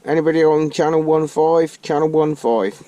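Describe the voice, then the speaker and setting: A man's voice: fairly high pitch, normal speed. A man giving a casual hands-on demonstration to viewers in a hesitant, rambling, informal way. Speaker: vlogger demonstrating a CB radio. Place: inside a car. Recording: noisy car interior, radio hiss. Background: loud radio hiss and static.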